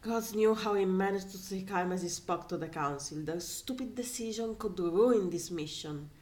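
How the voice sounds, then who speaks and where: A woman reading a story aloud casually, in an animated way, breaking off to add comments of her own. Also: medium pitch, normal speed. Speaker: fan fiction reader.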